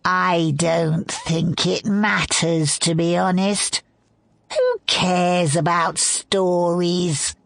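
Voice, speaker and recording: A woman's voice: fairly low pitch, fairly even intonation, drawn-out words, vocal fry, no echo, full character voice. A woman voicing a sneering, cynical old male raven with a cackling, scornful manner.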